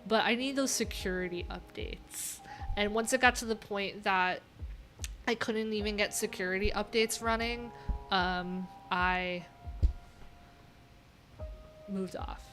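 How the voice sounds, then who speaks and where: A woman's voice: medium pitch, steady tone, slow, long pauses, a bit bright. Casual rambling woman streamer, faint music behind. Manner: informal, rambling, thinking aloud, swearing casually. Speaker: live streamer. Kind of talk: casual live tech stream commentary.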